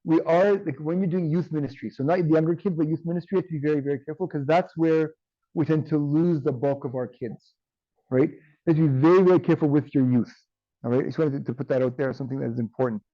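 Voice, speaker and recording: A man teaching an online class with a tired, strained voice, often checking in with "right?" and filling pauses with um. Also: on the high side, no echo, highs cut off. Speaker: instructor.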